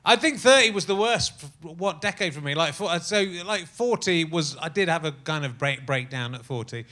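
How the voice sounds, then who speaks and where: A man in his fifties hosts a podcast and teases his guest wryly. His voice is fairly high and sharply bright. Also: expressive, normal speed. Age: 50s. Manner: wry, teasing. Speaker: podcast host. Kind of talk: casual interview chat.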